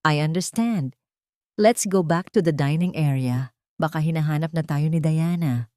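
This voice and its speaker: A woman's voice: sits low, moderate pace, dark tone, no room sound, studio quality, close to an everyday voice. Guarded, smitten husband voiced by a woman. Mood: yielding. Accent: Filipino. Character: wealthy married man, guarded yet smitten.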